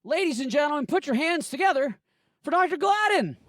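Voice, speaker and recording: A man working as event emcee, introducing the next speaker with energetic hype. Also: extremely high pitch, a little fast, very noisy.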